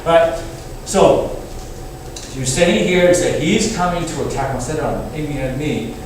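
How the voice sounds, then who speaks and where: A man's voice: fairly high pitch, normal speed, darkish tone. A man from Taiwan teaching a martial arts class, explaining loosely and often checking in with "okay?" and "right?". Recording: echoey hall, distant mic, background noise.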